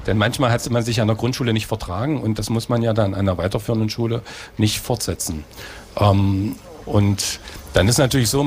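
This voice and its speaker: A man's voice: medium pitch, slight background noise. A man, a school head, answering interview questions in a conversational way, explaining at length.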